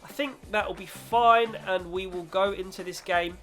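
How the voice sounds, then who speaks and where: A man's voice: sits high, fairly even intonation, a little slow, pushed and bright, soft background music. A man hosting a gaming video with casual, chatty football commentary in informal British slang, slightly nasal from a cold.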